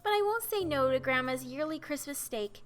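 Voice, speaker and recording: A woman with a cold voicing an eager, chatty young woman student who is quick with questions, her voice a little nasal. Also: high-pitched, lively intonation, faint music behind. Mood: playful.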